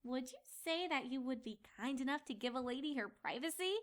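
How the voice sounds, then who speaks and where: A woman voicing young female cartoon ponies in a theatrical, expressive fan-fiction reading, by turns exasperated and fussy. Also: high voice, a little fast. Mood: sly, grumbling.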